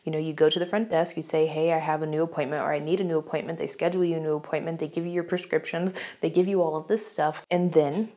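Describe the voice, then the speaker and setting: A young woman from the United States, slightly congested from a cold, telling a casual, animated vlog story to camera with frequent laughs, recorded indoors on a lapel mic. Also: low voice, fast talker, hardly any pauses. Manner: chatty, animated, laughs often, gestures with her hands. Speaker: YouTuber. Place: small home room indoors.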